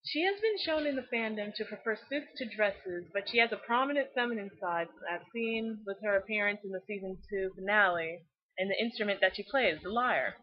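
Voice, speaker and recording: A woman giving scripted but casual fan commentary in a blunt, slangy, opinionated way, with animated delivery. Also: medium pitch, brisk. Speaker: fan video series host. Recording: slightly dull lo-fi recording.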